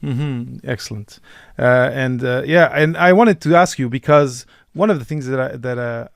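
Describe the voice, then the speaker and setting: A male podcast host with a gravelly edge to his voice, recorded close and dry. He talks in a halting but encouraging way, with frequent restarts as he works out his questions. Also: mid-range voice, dry recording. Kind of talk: podcast interview.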